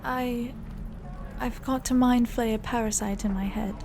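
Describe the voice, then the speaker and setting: A woman voicing a gentle young elf bard. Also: a little high, steady tone, a little slow, noisy background. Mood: mild. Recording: home-recorded voice over game music.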